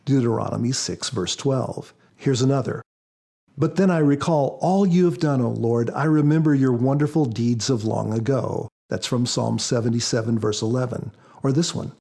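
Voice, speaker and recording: A man speaking as an author on a podcast, explaining things in a measured, reflective way. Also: mid-range voice, gravelly, warm tone. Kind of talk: measured explanatory talk with scripture readings.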